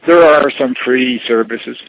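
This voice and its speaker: Measured, guarded man explaining, dry sound. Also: mid-range voice, dry recording, rough recording. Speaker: church representative taking a call. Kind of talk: casual phone conversation. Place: phone call.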